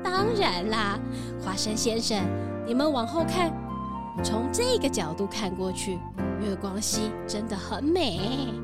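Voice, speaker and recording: A woman's voice: on the high side, lively intonation, measured pace. A woman voicing a friendly female goat who owns a cookie factory and bleats now and then, in a children's radio drama. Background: background music.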